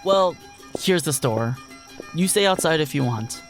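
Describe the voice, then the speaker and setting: Casting: male actor as prodding, skeptical brother in radio drama, gravelly edge, casual, music clearly behind. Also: a little high, animated, a little slow. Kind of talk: audio drama dialogue.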